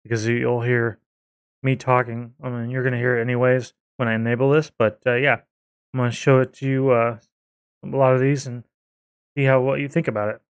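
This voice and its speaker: Man casually demoing software, clean dry sound. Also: mid-range voice, flat delivery, a little fast, clear, resonant tone, no echo. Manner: informal, hesitant, rambling. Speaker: video creator reviewing software. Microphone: close desktop microphone.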